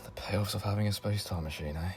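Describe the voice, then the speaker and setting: A man's voice: on the low side, fast, a little mellow, faint music behind, close to an everyday voice. A man playing a brave, down-to-earth young companion to a space-time traveller, speaking in a wry, colloquial way in an audio drama. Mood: weary, wry.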